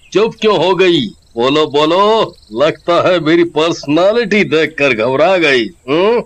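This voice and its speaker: A man's voice: on the high side, a bit bright, full character voice. A man voicing a swaggering, mocking young village thug in a horror storybook tale. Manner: cocky, mocking, laughing. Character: swaggering, leering young male village thug, cowardly when threatened.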